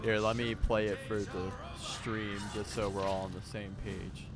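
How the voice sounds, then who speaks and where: A man's voice: lowish voice, level tone, moderate pace. Laid-back swearing man streamer, music behind. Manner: laid-back, swears freely, reads out viewer chat. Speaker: streamer host. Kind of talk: casual stream chat.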